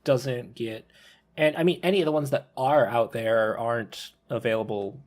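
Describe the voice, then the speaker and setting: A man's voice: medium pitch, moderate pace, a little mellow. A man hosting a casual podcast discussion, talking in a rambling, loose way with many restarts. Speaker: podcast host.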